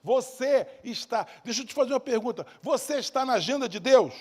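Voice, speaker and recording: A man with a Rio de Janeiro Brazilian accent and a husky voice preaches an evangelical sermon emphatically, repeating key phrases and asking rhetorical questions. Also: very high-pitched, lively intonation, fairly quick, a little mellow. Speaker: preacher, bishop. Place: church service in a large hall. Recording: reverberant hall sound.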